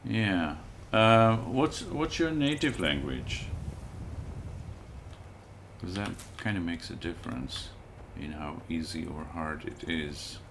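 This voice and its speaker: A man's voice: deep voice, slow pace, gravelly, background noise. A man streaming a video game, casually thinking aloud and chatting with his viewers as he plays. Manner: relaxed, thinks aloud and chats with viewers while playing. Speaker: livestreamer playing a video game. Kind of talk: casual let's-play commentary.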